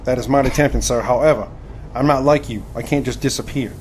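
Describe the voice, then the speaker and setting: Earnest young male recruit man acting, nineteenth-century audio drama. Medium pitch, formal and courteous, faint background noise. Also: quick pace, darkish tone, natural voice. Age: 20s. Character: earnest, polite young gentleman recruit in 1871.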